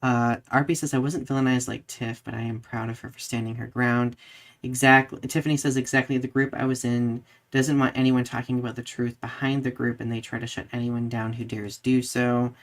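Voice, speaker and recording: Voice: medium pitch, little pitch movement, brisk. Casting: live podcast co-host, middle-register voice, informal discussion style, chatty phrasing. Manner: conversational, peppered with "like". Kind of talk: casual discussion, reading out live comments.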